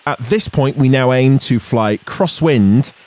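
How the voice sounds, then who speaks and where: A man's voice: medium pitch, slow, darkish tone. A man narrating an explanatory vlog as its host, in a voice-over style. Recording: music under the voice. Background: background music.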